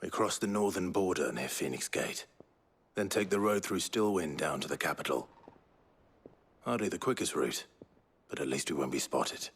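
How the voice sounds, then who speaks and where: An actor playing a grim, resolute warrior and leader in a video game, with a husky voice and a terse, serious way of talking. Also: male voice, low-pitched, expressive, moderate pace, pauses often, full character voice. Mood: grim, determined. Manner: terse, plain-spoken, serious. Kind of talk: dramatic game dialogue.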